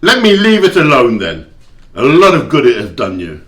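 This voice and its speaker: A man's voice: medium pitch, wildly swooping pitch, moderate pace, fairly bright tone. Man acting a grumpy, swooping old miser. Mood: curt, dismissive. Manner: curt, scornful, grumbling. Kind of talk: amateur audio play acting.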